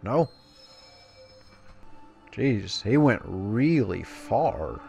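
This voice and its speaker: A man's voice: on the low side, big pitch swings, very slow, creaky, darkish tone, dull audio. A man giving casual video game commentary, reading quest text and adding wry, offhand asides. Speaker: gaming video creator. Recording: game music behind the voice. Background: video game music.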